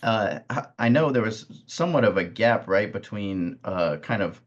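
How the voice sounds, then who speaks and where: An American man in his thirties interviewing casually, with hesitant, halting phrasing. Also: lowish voice, lively intonation. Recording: dull, band-limited sound.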